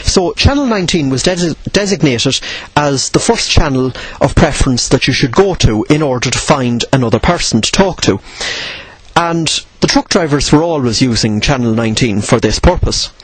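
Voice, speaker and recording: A man with a slightly husky voice giving an informal tutorial, explaining in a measured way and posing questions he then answers. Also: medium pitch, lively intonation, dry recording, rough recording. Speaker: presenter of instructional radio videos.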